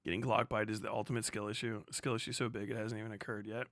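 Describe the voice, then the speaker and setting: A man's voice: fairly low pitch, level tone, quick pace, fairly bright tone, dry recording. A man hosting a livestream with casual, profane, blunt and sarcastic commentary. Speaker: livestream host.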